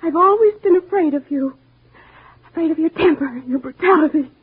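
An actress playing a mousy, downtrodden wife who calmly plans to murder her husband, in old-time radio drama style, speaking quietly and chillingly and breaking into sobs. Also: sits high, brisk, lightly acted. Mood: sobbing hatred. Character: timid downtrodden wife turned cold poisoner. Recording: muffled lo-fi old radio recording.

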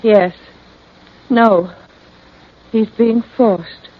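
An actress playing an entranced woman in a vintage crime radio drama, speaking in halting, trancelike short phrases. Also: fairly high pitch, steady tone. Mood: dazed, trancelike. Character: entranced woman reliving deaths, dazed then frantic. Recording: dull lo-fi old radio recording.

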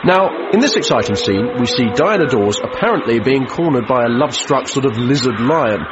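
A man's voice: medium pitch, animated, a little fast, close to an everyday voice. An actor playing a chatty, self-satisfied 1950s British film studio man presenting his effects work in a drily matter-of-fact way. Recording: muffled vintage film sound, loud background noise.

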